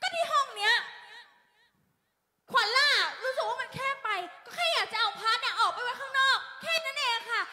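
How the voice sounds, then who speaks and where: A woman's voice: extremely high pitch, fairly quick, pushed and bright, full character voice. A woman playing a young wife who complains in a whining voice in a comic sketch.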